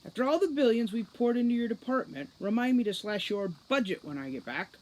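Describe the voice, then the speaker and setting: Woman voicing a gruff, barking male fairy commander. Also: fairly high pitch, expressive, brisk, a little mellow, pitched above the performer's own voice, low-quality recording. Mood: stern.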